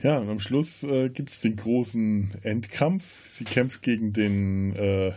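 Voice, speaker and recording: A man podcast host in a casual film discussion, often halting as he searches for words. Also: fairly low pitch, mellow.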